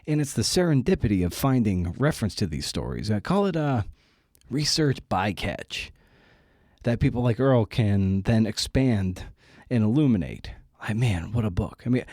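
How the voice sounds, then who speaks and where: Low, mellow-voiced man hosting a podcast. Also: dry recording, studio quality. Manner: informal, slangy. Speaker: podcast host and interviewer. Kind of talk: casual podcast intro and interview chat.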